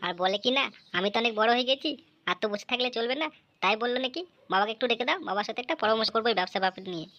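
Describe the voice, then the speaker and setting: Woman as fussing cartoon village mom, chatty, exclaiming, highish pitch, muffled lo-fi audio. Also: very fast, fairly bright tone, lightly acted. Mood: delighted. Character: fussing, proud village mother and housewife. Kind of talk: comic cartoon dialogue. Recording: dull lo-fi audio with some noise.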